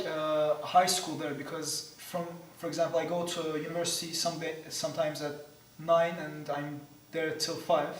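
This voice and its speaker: Young Turkish man, hesitant, higher voice. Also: a little hiss or hum. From Turkey. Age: young adult. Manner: hesitant, many fillers. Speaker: exchange student. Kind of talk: casual interview answers. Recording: echoey room, mic at a distance.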